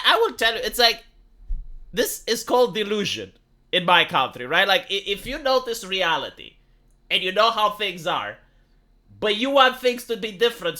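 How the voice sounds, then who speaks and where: A man's voice: high voice, sharp tone. A Romanian man with a Romanian accent giving a sarcastic, exasperated, opinionated commentary in casual speech. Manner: sarcastic, exasperated, with rhetorical tag questions. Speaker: YouTube commentator and streamer.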